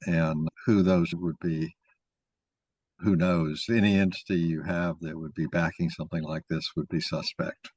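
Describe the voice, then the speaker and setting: A man moderating an informal discussion, talking in a halting, rambling way as he thinks aloud. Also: low-pitched, fairly even intonation, darkish tone, slightly rough, no room sound. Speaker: moderator of an online mock trial.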